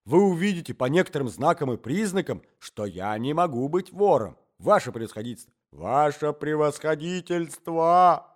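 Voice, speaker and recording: A man's voice: mid-range voice, big pitch swings, fairly quick, few pauses. Man acting a flustered, whining, pleading wretch. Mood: imploring. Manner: whining, babbling, obsequious. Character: pleading, flustered, hapless man caught hiding.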